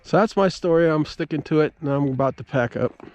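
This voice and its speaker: A middle-aged man giving a casual, halting, folksy account as he vlogs outdoors at a park. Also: on the high side, a little mellow. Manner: halting, folksy, stop-and-start storytelling. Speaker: amateur radio operator vlogging. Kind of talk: casual rambling outdoor vlog. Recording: clear ambient noise outdoors. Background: ambient outdoor noise.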